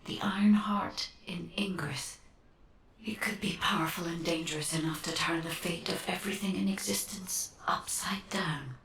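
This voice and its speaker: A woman's voice: fairly low pitch, moderate pace, vocal fry, fairly bright tone, a little hiss or hum. Echoing, distant, serene female guide. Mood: hushed, intrigued. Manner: serene, formal, precise. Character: calm, analytical otherworldly female guide. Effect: echoing, distant voice filter. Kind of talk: scripted video game dialogue.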